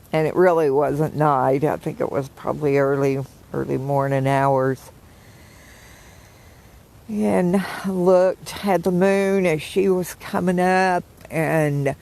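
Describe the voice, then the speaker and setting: An older woman with a hoarse, froggy morning voice, chatting gently and affectionately with live viewers from the woods. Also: low-pitched. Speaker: vlogger greeting live viewers. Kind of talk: casual live chat. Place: outdoors in the woods.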